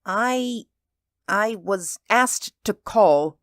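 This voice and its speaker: A man voicing an uneasy, solemn company messenger in a story, speaking in a halting, quiet, formal way. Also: sits high, lively intonation, no room sound, clean studio sound, pitched above the performer's own voice, exaggerated voice. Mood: hesitant. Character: ill-at-ease, solemn male company messenger bearing bad news.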